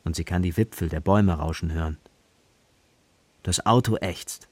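A man's voice: sits low, normal speed. A man giving scripted narration for a children's radio play. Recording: loud music and sound effects behind. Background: loud music, car sounds.

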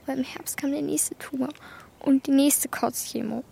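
A woman's voice: high voice, steady tone, normal speed, creaky, dark tone. A woman playing Martha, a bold, blunt young girl carrying grief, who talks in colloquial slang in a children's radio play. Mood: bitter grief.

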